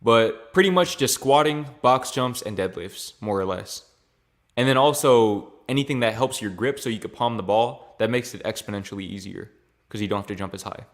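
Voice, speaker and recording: A young man from Louisiana, raised in Texas, answering viewer questions in a relaxed vlog and tossing in "bro" and "man" as he talks. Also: lowish voice, normal speed. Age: young adult. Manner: laid-back, slangy, repeats phrases with "bro" and "man". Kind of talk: casual Q&A vlog.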